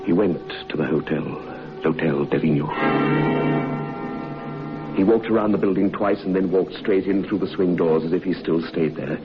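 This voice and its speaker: An actor playing a sombre man telling of a friend's horrifying ordeal in a suspenseful, measured radio drama style. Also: low voice, music in the background, lightly acted. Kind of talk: dramatic radio horror narration. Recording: muffled, noisy old radio recording.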